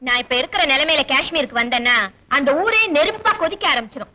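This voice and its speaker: A woman's voice: high voice, expressive, fast, bright, forceful tone. An actress playing a cheeky young woman who pulls pranks and teases mockingly in an old film. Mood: scornful. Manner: teasing, mock-apologetic, dramatic.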